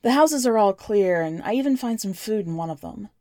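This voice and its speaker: A woman reading scripted first-person fiction as a podcast narrator, with measured, deliberate phrasing. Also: medium pitch, lively intonation, dark tone, no room sound, studio quality. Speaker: podcast host and story narrator.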